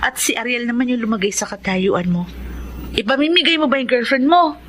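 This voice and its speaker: A woman's voice: fairly high pitch, fairly quick, a bit bright, a little hiss or hum, natural voice. An actress playing a frank, persuasive young woman confidante in a Filipino radio drama. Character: frank young female confidante, reasoning and scolding.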